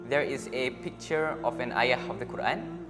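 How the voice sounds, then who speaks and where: A nineteen-year-old man, briskly explaining the rules of a quiz game. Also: mid-range voice, in a large hall, music in the background. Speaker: quiz contestant.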